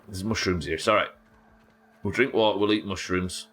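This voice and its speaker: A British man with a naturally croaky voice, chatting casually and swearing while streaming a game. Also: sits low, normal speed, a bit bright. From United Kingdom. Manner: chatty, swears, reads chat aloud. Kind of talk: casual gameplay chat.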